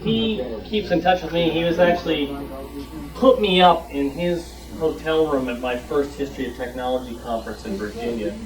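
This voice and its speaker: A man's voice: fairly high pitch, normal speed, distant mic, low-quality recording. A man speaking informally and telling anecdotes at a show-and-tell. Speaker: club member presenting his collection. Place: club meeting room. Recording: recorded from off the mic.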